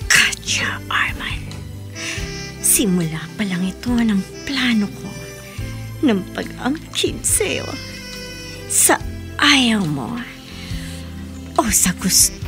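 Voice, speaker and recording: Slow, coy, scheming flirt. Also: female voice, mid-range voice, sing-song, over music. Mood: scheming, possessive. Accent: Filipino. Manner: coy, teasing, drawn-out. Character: flirtatious, scheming woman pursuing a heartbroken bachelor. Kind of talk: Filipino radio drama acting.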